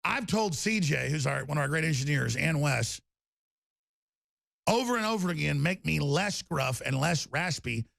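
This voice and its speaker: A man hosting a talk radio show, raspy and torn-up in tone, rambling emphatically with loud bursts and low mutters. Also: animated, moderate pace, no room sound, clean studio sound. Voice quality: raspy, gravelly voice. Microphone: studio microphone.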